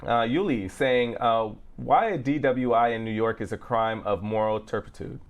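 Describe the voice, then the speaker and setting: Male co-host, medium-pitched voice, reads audience questions aloud, casual talk-show delivery. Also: normal speed, lo-fi sound. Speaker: co-host reading viewer questions.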